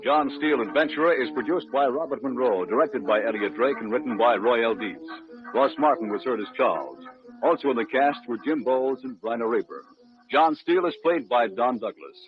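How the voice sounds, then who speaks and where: A male announcer reads script in 1940s radio style with animated, swooping pitch. The old recording is dull, with faint music underneath. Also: a little fast.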